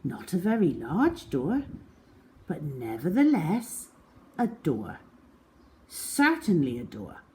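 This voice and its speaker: A woman reads a storybook to young children. Her voice sits slightly low for a woman and moves very slowly and deliberately. Her pitch rises and falls in wide, expressive swings, and she stretches out words for effect. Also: slight background noise, rough recording. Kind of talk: children's storybook read-aloud.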